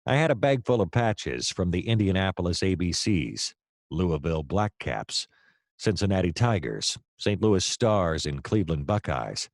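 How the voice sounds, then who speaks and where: Actor playing a low-voiced, wry noir private eye. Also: male voice, normal speed, dry recording, studio quality. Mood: wry, brooding. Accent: American. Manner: wry, deadpan narration, hesitant and flustered in dialogue. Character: hapless, wry male private detective narrating his case. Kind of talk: noir detective narration and dialogue in an audio play.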